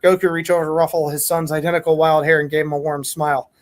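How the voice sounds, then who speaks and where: A man's voice: fairly high pitch, level tone, quick pace. A man hosting a podcast, reading fan fiction aloud and chatting casually. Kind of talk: casual read-aloud and group chat.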